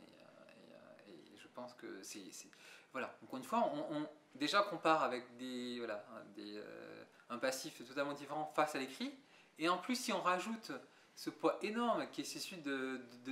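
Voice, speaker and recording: A man's voice: medium pitch, a bit bright. A young adult man, a visual artist, answering interview questions thoughtfully with many restarts and fillers. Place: museum gallery. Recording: echoey room.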